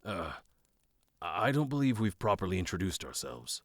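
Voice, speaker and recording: An actor playing a calm, optimistic fantasy cleric, speaking in a measured, polite way. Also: male voice, sits low, no echo, clean studio sound.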